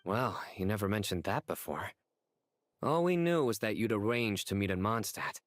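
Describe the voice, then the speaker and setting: A man voicing an earnest, theatrical joker in a video game, speaking in a measured, formal and self-satisfied way. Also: fairly low pitch, very animated, dry recording, studio quality. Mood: composed.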